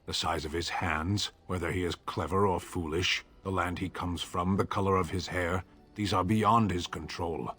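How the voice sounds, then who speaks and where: A man's voice: low-pitched, normal speed, husky. An actor voicing a stern, towering male warrior of a giant race in a video game, speaking in blunt, literal pronouncements. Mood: solemn. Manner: blunt, flat, matter-of-fact pronouncements. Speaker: game companion.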